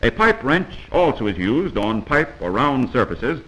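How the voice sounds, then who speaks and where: Bright, animated 1940s training film narrator, male. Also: medium pitch, measured pace, poor audio. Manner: instructive, emphatic. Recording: vintage 1940s film soundtrack.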